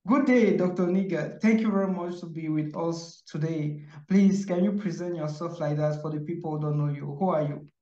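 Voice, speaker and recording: Mellow-voiced male host in an echoey room. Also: a little high, moderate pace, few pauses. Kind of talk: scripted interview hosting. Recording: echoey, dull lo-fi sound.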